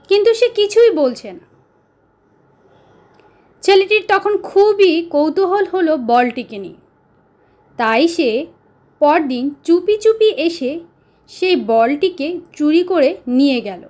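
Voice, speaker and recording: Woman storyteller, very high voice, unhurried pace, dramatic pauses, children's tale narration. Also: lively intonation, darkish tone.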